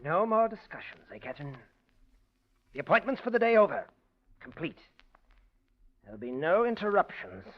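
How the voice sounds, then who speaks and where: A sneaky killer, halting then quiet and cold, muffled old radio sound. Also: male voice, high voice, very animated. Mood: menacing. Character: scheming murderous man, hesitant then menacing. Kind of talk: 1940s radio acting. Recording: dull old radio recording.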